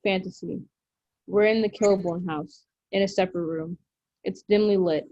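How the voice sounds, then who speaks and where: A woman's voice: mid-range voice, fairly even intonation, normal speed, warm tone, no room sound. A woman reading stage directions as scripted narration at a drama table read. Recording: dry, muffled lo-fi audio.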